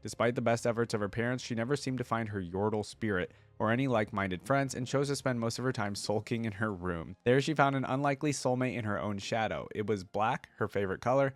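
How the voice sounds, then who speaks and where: A man hosting a reaction channel, casually commenting and reading aloud, thinking out loud with informal asides. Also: mid-range voice, quick pace, few pauses, no room sound.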